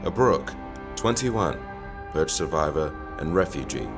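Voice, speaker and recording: A man doing a grave young soldier, very deep. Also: animated, unhurried, heavily acted. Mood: formal. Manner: formal, stating rank. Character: young male soldier, twenty-one, grave. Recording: music bed underneath.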